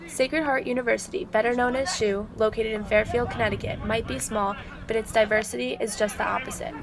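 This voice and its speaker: A woman's voice: on the high side, steady tone, a little fast, crowd noise. A woman reading scripted narration for a student news report.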